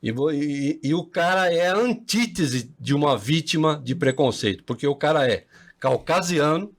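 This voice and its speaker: A man's voice: fairly high pitch, animated, normal speed. A man hosting a podcast, introducing his guest in a casual, slangy way with frequent swearing and emphatic asides. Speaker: podcast host.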